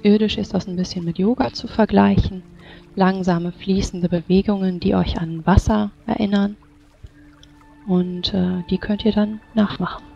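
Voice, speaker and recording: A woman's voice: mid-range voice, fairly even intonation, moderate pace, gravelly, husky. A woman narrating a tabletop roleplaying game as game master. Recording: slightly dull, music behind. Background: soft music.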